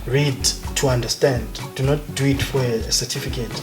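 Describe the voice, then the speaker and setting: A man's voice: mid-range voice, fairly even intonation, fairly quick. Passionate Zimbabwean man, music under. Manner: emphatic, passionate, mixes in Ndebele phrases. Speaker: guest, academic economist. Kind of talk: conversational interview. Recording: background music.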